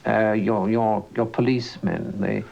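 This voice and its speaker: A man interviewing in a literary style, with halting, discursive phrasing and repetitions. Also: fairly low pitch, fairly even intonation, creaky. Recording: dull, lo-fi archive radio recording.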